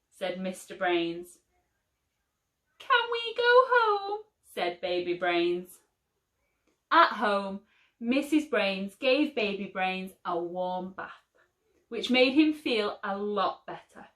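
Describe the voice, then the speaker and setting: Slow, expressive storybook reader woman. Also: medium pitch. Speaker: teacher. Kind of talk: reading a picture book aloud to children. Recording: roomy echo.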